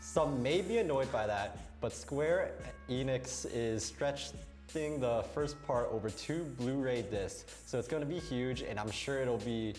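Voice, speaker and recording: A young man co-hosting gaming news in English, which is not his first language. He reads his scripted lines stiffly and flatly, at a middle pitch, with faint music underneath. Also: measured pace. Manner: stiff, flat reading. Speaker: news co-host.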